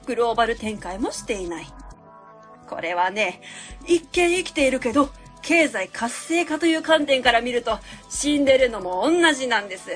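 Actress as a self-assured female consultant, high swooping voice, cold, didactic radio drama lecture. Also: expressive, normal speed, faint music behind, close to an everyday voice. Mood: dismissive, cold. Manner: didactic, asks and answers own questions. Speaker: actor in a web radio drama. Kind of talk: radio drama acting, delivering a presentation.

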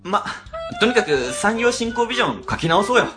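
A woman playing a sly, cowardly office worker who flatters and schemes in a web radio drama. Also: medium pitch, fairly quick, over music, lightly acted. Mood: breezy scheming.

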